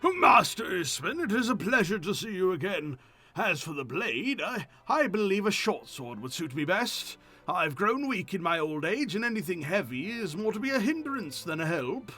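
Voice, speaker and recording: A frail, gracious old man from a fantasy game, as a man plays him. He speaks in a measured, deferential way. The voice is fairly high for an elder, with a wide range. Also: sing-song, sharp tone, faint music behind, exaggerated voice.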